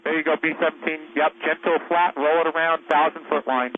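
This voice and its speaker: Over a thin, static-laden aviation radio, a fairly high-voiced man directs pilots. He is informal yet directive and encouraging, giving short live instructions as an air boss. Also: little pitch movement, brisk. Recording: tinny, noisy aviation radio transmission.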